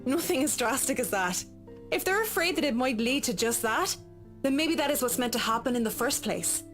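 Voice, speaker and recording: A straight-talking professional woman in a visual novel. She has an Irish accent and a medium-high voice, tactful but casual and independent-minded. Faint music runs underneath. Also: animated, normal speed, fairly bright tone. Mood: frank, careful. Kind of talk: visual novel game acting.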